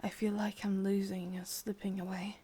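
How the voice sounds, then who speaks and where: A sadistic yet wistful young witch with an English accent, acted by a woman for an ASMR roleplay. Her voice is breathy and mostly whispered, staying within a narrow, subdued range. She murmurs slowly and haltingly, possessive and intimate. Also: medium pitch, level tone, warm tone. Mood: wistful, melancholy.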